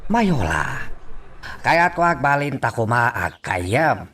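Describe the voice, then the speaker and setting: A man's voice: medium pitch, very animated, slow pace, a bit bright, recorded from a distance, soft background music. A man acting as a scheming, menacing businessman in an Ilocano radio drama. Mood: calm, scheming.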